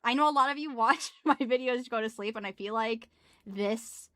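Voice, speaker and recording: A young woman with a gruff Midwestern accent, chatting casually and thanking viewers on a live stream from her small home office. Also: on the high side, moderate pace, fairly bright tone, no room sound, clean studio sound. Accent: Midwestern American. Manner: bubbly, thanking viewers, laughs often.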